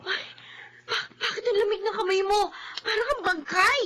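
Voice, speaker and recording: Piercing, excitable Filipina little sister. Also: female voice, very high-pitched, moderate pace. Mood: bewildered. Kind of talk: Filipino radio drama acting.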